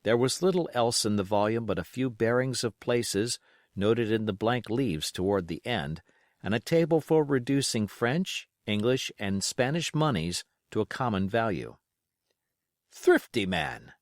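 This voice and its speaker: A man reading a novel as a scripted audiobook narration, measured and carefully phrased. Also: medium pitch, animated, dry recording, studio quality.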